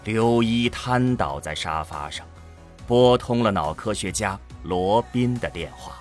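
A man's voice: fairly low pitch, expressive, unhurried, faint music behind. A man narrating a science fiction radio drama in a dramatic scripted storytelling style.

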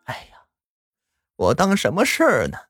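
A soft old grandmother speaks in drawn-out, hushed phrases, as a male narrator puts her on. Her voice sits well above a man's usual pitch and swings around a lot. The recording is dry, with faint music behind. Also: wildly swooping pitch, husky, dry recording, heavily acted. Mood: doting.

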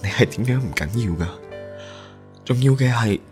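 A man's voice: medium pitch, animated, normal speed, lightly acted. A man playing a devoted, lovelorn young groom in radio drama. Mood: reassuring. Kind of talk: Cantonese radio drama acting. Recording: music under the voice.

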